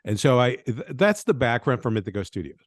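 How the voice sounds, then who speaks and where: A man's voice: mid-range voice, very animated, a little fast, dry recording, studio quality. A man from Venezuela, a game designer talking loosely in a podcast interview, often tagging thoughts with "right?". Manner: rambling, tag questions like "right?".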